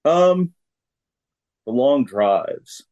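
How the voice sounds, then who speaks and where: A man's voice: medium pitch, animated, extremely slow, darkish tone, dull audio. A middle-aged man answering questions as a guest in a casual interview.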